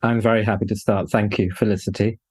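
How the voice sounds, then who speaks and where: Deep-voiced man in his sixties, dry sound. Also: little pitch movement, quick pace, darkish tone, breathy edge, no echo. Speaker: coach trainer, guest. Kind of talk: introducing himself on a podcast.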